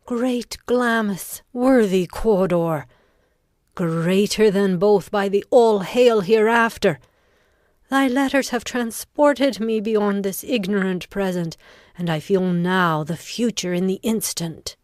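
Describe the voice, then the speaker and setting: A woman playing a ruthless, ambitious noblewoman in a deliberate, intense Shakespearean audiobook performance. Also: medium pitch, a little mellow, no room sound, clean studio sound, natural voice. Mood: exultant. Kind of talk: dramatic Shakespearean audiobook reading.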